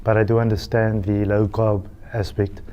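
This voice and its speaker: TV talk guest: South African man in his late thirties, loose and chatty, mellow voice, faint room hiss. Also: lowish voice, little pitch movement, normal speed, lo-fi sound. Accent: South African English. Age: 30s. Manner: chatty, laughs often, restarts with "so, so". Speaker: TV channel CEO, guest. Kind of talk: casual chat.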